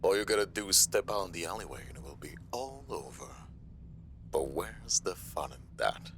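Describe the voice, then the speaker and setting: A man playing a smug, taunting mobster villain in an audio drama, with a gravelly, mocking delivery. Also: low voice, expressive, normal speed. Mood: smug, menacing. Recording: noticeable hiss and background noise.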